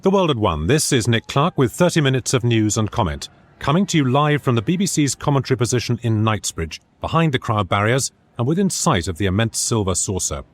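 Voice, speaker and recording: A man's voice: medium pitch, big pitch swings, brisk. A man playing an earnest radio news presenter reporting live, with a British accent, in a comedy drama. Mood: earnest, measured.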